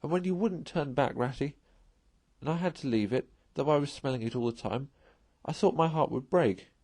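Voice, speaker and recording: A man's voice: medium pitch, moderate pace, darkish tone, dry recording, studio quality, voice pushed up, close to an everyday voice. A man voicing a timid, homesick storybook mole who pleads in a broken, sobbing way. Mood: tearful, homesick.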